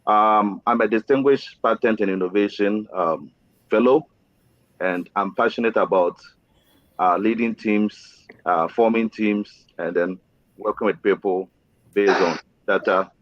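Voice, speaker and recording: A man from Ghana introducing himself on a panel discussion, pausing often with fillers. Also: low voice, no room sound. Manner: hesitant, many fillers. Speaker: panelist, chemical engineer. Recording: dry, dull lo-fi sound.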